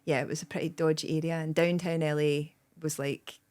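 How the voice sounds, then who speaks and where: A woman's voice: sits low, flat delivery, fairly quick, darkish tone. A Scottish woman in her thirties, a guest comedian rambling in casual podcast chat with plenty of "like" and "um". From Scotland. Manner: rambling, lots of "like" and "um", bursts of laughter.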